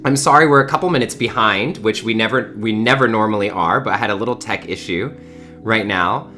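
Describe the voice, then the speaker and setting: A man's voice: fairly low pitch, a little fast, pushed and bright, faint music behind. A man in his early thirties hosting an informal online spiritual community gathering, talking effusively and repeating words for emphasis. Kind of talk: informal livestream talk to a community.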